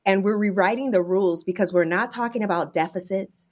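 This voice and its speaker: A woman's voice: medium pitch, a little fast, a little mellow. A woman with an American accent, giving answers as an interview guest. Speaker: interview guest, nonprofit executive director.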